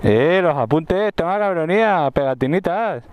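A man's voice: fairly high pitch, lively intonation, moderate pace, a crowd in the background. Joking young man with slangy banter. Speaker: visiting fellow motovlogger. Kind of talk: casual chat among friends. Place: outdoor gravel parking lot by the water.

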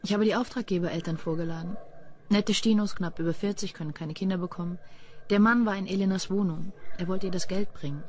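A woman's voice: medium pitch, steady tone, quick pace, darkish tone, close to an everyday voice. Dry, sardonic policewoman, played by an actress. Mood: businesslike, dry. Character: cool, quick-witted female police detective. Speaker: radio drama actor. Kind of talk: German crime radio play acting.

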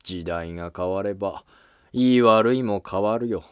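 Man playing a dry, measured court scholar. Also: lowish voice, smooth, no room sound, close to an everyday voice. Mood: matter-of-fact. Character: dry, driven male court scholar compiling a history. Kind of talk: scripted historical drama dialogue.

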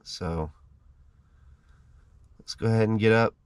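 A man in his thirties casually narrating a camp breakfast to the camera from inside a parked van, chatty and relaxed. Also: lowish voice, slow pace, clear, resonant tone, dry recording. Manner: relaxed, chatty, walks through each step. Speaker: travel vlogger. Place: inside a parked van, small enclosed space. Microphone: camera mounted in the vehicle.

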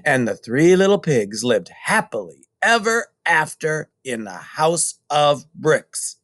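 Animated man reading a children's storybook. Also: a little high, slow pace, no room sound. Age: middle-aged. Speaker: storybook reader. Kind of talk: expressive children's story narration.

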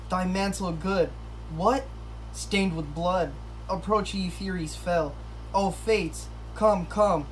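A pompous romantic hero of a puppet play, voiced by a woman. The pitch is on the low side and the verse comes slowly, with hammy grandeur. Clear noise sits in the home recording. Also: low-quality recording. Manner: hammy, declamatory. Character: pompous melodramatic young male lover, a sock puppet. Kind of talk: amateur puppet-show Shakespeare verse.

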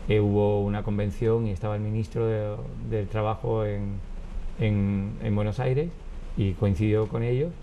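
A man in his fifties, born in Cuba, chatting as an interview guest in a wood-panelled studio, telling family anecdotes with lots of restarts and lively acted quotes. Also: lowish voice, normal speed, muffled recording, a little hiss or hum. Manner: animated, gestures with hands, many restarts and acted quotes. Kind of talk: relaxed conversational storytelling. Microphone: lapel mic.

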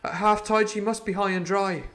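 A man voicing a frightened, earnest teenage cabin boy in a storybook reading, pleading and high-strung. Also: fairly even intonation, normal speed, a bit bright.

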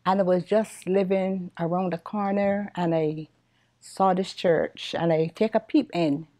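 A woman's voice: fairly low pitch, fairly even intonation, a little slow, warm tone, no room sound, studio quality. An older woman from Barbados, earnestly recalling how she joined her church, in an interview in a church sanctuary. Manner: earnest, heartfelt. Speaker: church member.

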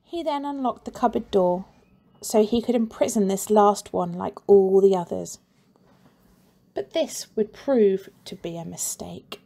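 A woman teacher reads a children's storybook aloud at an unhurried, careful pace. Her voice sits at a middling pitch, and she adds playful sound effects and plenty of expression. The read-aloud is lively and clear for young listeners.

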